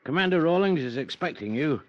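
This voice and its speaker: An actor playing a courteous, deferential young British police constable in a 1950s radio drama. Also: male voice, on the high side, expressive, a little fast, natural voice. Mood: polite. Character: young, very polite but firm police constable. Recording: muffled vintage radio recording.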